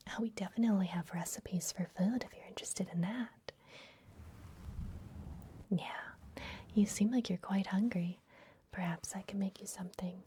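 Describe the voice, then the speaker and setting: A woman playing a gentle, secretive vampire librarian in an ASMR fantasy roleplay, speaking in a soft, breathy, confiding whisper. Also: medium pitch, steady tone, a little hiss or hum, lightly acted. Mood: welcoming. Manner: gentle, hushed, confiding, unhurried.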